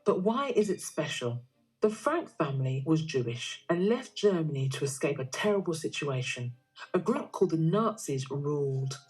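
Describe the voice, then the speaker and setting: Woman narrating a history explainer, low husky voice, music underneath. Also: sing-song, moderate pace, a little mellow, poor audio. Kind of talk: scripted educational narration.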